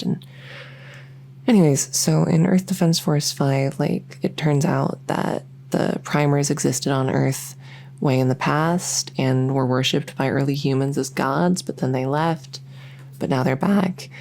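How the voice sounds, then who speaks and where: A woman's voice: low-pitched, fairly even intonation, a little slow, gravelly, mellow. A woman voicing a lonely, socially awkward vampire who rambles enthusiastically in an ASMR audio roleplay. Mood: nerdy enthusiasm. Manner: rambling, enthusiastic info-dumping, many fillers. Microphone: close ASMR microphone.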